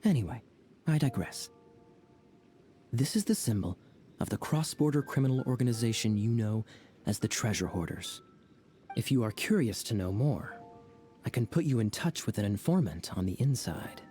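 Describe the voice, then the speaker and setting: An actor playing a sly, teasing knight captain in a video game, with a smooth, playful manner. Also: male voice, medium pitch, expressive, normal speed, long pauses, mellow, soft background music, natural voice.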